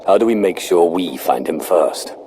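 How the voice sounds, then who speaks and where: Deep-voiced imperious dark lord. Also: male voice, expressive, slight background noise. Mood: cold, calculating. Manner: measured, authoritative. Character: commanding dark lord, grim and imperious man. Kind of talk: scripted video game dialogue.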